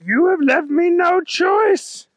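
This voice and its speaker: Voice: sits high, animated, a bit bright, no echo, clean studio sound, voice pushed up, exaggerated voice. A storyteller voicing a heroic male Easter Bunny karate master, firm and earnest, in a children's story. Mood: determined. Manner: earnest, firm, slow deliberate warnings, martial cries.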